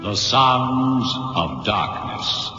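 An actor playing a dramatic, theatrical announcer introducing a radio suspense serial, with a portentous delivery. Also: male voice, medium pitch, animated, extremely slow. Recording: dull old radio recording, loud music.

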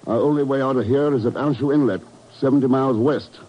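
An actor playing a cool, dry-witted secret agent hero in a 1950s radio drama. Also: male voice, mid-range voice, fast, close to an everyday voice. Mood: urgent, exasperated. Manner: dry, sardonic, unruffled. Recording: muffled, very noisy 1950s radio recording.

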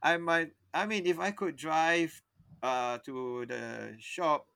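A young man tells a story on a podcast in a bright, forward voice. The sound is close and dry, with no room around it. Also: a little high, takes it slowly, creaky, smooth, no room sound. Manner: rambling, often stops and restarts mid-sentence. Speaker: podcast co-host. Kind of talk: casual storytelling chat.

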